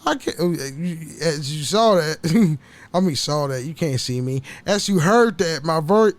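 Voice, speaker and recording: High-pitched man chatting, rambling and stammering. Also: animated, a little slow, no room sound. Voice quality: voice prone to cracking. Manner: rambling, stammering, repeats words; frequent casual swearing. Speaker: podcast host. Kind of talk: casual solo chat.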